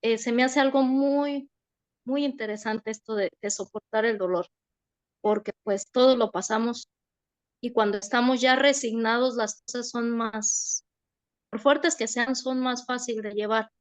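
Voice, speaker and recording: Lady chiming in at a spiritual study group, gentle and reflective, kinda high voice, dry close sound. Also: steady tone, a little slow, no room sound. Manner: gentle, reflective, uses fillers like "pues".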